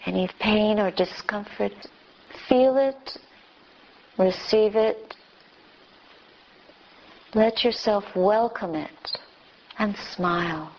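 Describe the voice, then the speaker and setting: Slow-speaking woman guiding meditation. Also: medium pitch. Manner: gentle instruction in short, spaced phrases. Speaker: meditation teacher. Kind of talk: guided meditation. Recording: dull, lo-fi recording.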